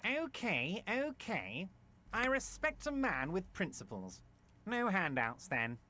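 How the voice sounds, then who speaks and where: A pompous, syrupy union boss. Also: male voice, high-pitched, huge pitch swings, slow, sharp tone, exaggerated voice. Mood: patronizing. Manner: syrupy, patronizing, theatrical. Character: pompous smooth-talking male union boss, middle-aged. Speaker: video game character.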